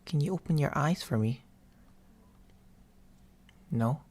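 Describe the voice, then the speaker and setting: A man voicing an eerie, patient sleep paralysis demon in an ASMR roleplay, half-whispering slowly and intimately into a close microphone with coaxing, theatrical phrasing. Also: on the high side, animated, a little mellow. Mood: gently coaxing. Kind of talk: ASMR roleplay, slow storytelling. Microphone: close binaural microphone.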